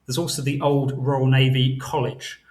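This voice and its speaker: A man's voice: mid-range voice, level tone, a little mellow. A middle-aged man with an English accent, a travel blogger guest chatting casually about what to see. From United Kingdom. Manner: talks fast, chatty, light laughs, lists sights. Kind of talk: casual interview.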